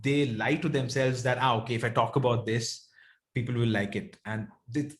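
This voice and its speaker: A man's voice: mid-range voice, fairly even intonation, normal speed. A man with a South Indian accent speaking as an interview guest, reflective and conversational, often checking in with "right" or "you know". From Bengaluru, India.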